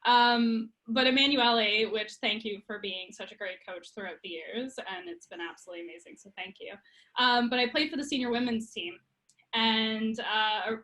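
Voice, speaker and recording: Bright, giggly woman from PEI. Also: a little high, rough recording. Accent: Prince Edward Island. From Prince Edward Island, Canada. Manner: giggly, laughs often. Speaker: girls' program coach. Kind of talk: casual interview chat.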